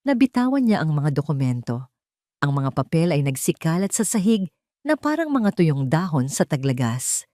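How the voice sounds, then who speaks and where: A woman's voice: sits low, sing-song, moderate pace, mellow, no echo, studio quality. A woman with a Filipino accent reading a scripted, dramatic love story as its narrator.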